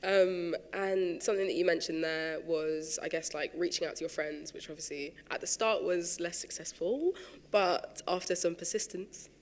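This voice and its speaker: Flat-voiced male moderator in a theatre. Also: a little high, normal speed, big-room sound. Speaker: panel moderator. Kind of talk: moderating a panel talk. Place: large theatre stage.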